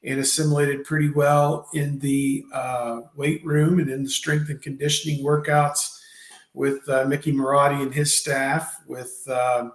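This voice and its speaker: A man in his fifties hosting a sports talk show, rambling, with many fillers and pauses. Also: medium pitch, level tone, echoey room, poor audio. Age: 50s. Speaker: podcast host.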